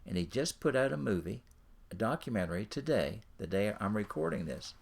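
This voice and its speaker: A man's voice: on the low side, animated, fairly quick, creaky, breathy edge. A man giving an informal instructional talk as a coach, speaking directly to the listener and repeating his phrases. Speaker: ministry and business coach.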